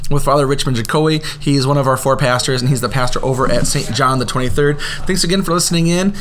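A man's voice: medium pitch, a little hiss or hum. A man hosting a podcast, chatty and enthusiastic, interviewing a guest in an office. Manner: chatty, enthusiastic, quick exclamations. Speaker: podcast host. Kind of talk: casual interview. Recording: echoey office room.

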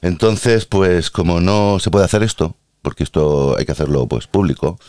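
Low-voiced Andalusian man ranting sarcastically. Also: moderate pace, a little mellow, no room sound, clean studio sound. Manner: ranting, sarcastic, playfully theatrical. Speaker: podcast host and healthcare worker. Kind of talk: casual podcast monologue.

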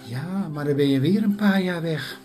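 A haughty bird princess with a low, mellow voice who talks down in slow, condescending lines, as a woman storyteller puts her on. She makes her demands in a spoken fairy tale, with soft guitar behind her. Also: natural voice. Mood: dismissive.

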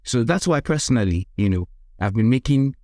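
A man with a Nigerian accent hosting a podcast interview, speaking earnestly and haltingly, often repeating words. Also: medium pitch, lively intonation, a little mellow, dry recording, studio quality.

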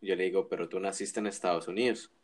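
A man's voice: deep voice, fairly even intonation, quick pace. A man co-hosting a casual wrestling chat, giving opinions with frequent restarts and tag questions. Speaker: wrestling podcast co-host.

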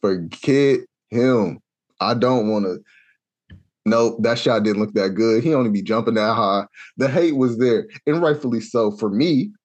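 A young adult man from Kansas telling a long, animated story in a casual podcast chat, acting out the voices he quotes. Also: medium pitch, a little slow. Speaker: podcast co-host.

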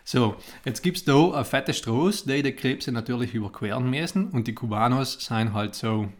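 A man's voice: mid-range voice, normal speed. Man in dialect, chatting casually. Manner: colloquial, dialect-heavy. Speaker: podcast co-host. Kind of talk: casual banter and storytelling.

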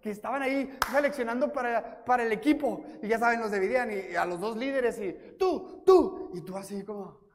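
A man from Mexico with a Mexican accent, preaching a sermon as pastor, telling self-mocking stories and acting out quotes in a lively way. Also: very high voice, fairly quick, few pauses. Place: church. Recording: reverberant church hall.

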